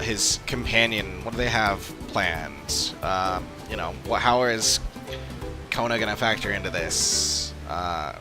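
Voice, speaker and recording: A man chatting casually and unscripted on a live game stream, rambling as he thinks aloud. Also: lowish voice. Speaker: live streamer playing an online role-playing game. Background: game music.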